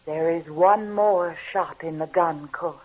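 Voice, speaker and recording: A woman's voice: lowish voice, muffled recording. An actress playing a vengeful woman raised from the dead, speaking solemnly and commandingly in old-time radio horror style. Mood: insistent, ominous. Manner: slow, solemn, portentous.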